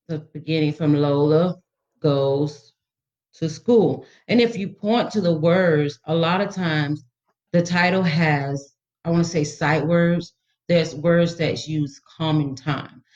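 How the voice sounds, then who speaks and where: A woman's voice: deep voice, a little mellow. Woman educator on slightly dull, lo-fi audio. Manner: instructive, reassuring, colloquial phrasing. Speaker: guest storytime educator. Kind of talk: informal explanatory tips for parents.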